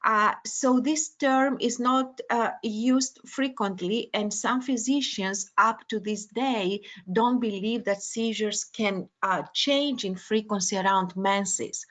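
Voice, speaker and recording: A woman neurologist from Greece delivers a webinar lecture, her English carrying a Greek accent. She speaks slowly, with steady mid-range pitch, and fills her pauses with frequent uh and um. The sound is that of a video call. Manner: hesitant, many uh and um fillers.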